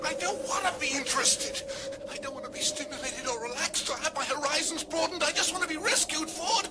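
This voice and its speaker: Very high, desperate traveller. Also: male voice, brisk, very noisy. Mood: exasperated. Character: desperate, exasperated male space traveller. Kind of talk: radio comedy drama acting.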